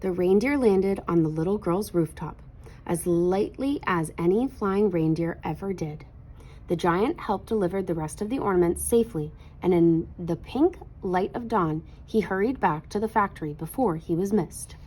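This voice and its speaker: A woman narrating a children's picture book aloud in an expressive read-aloud style. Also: on the low side, normal speed, slightly rough, poor audio.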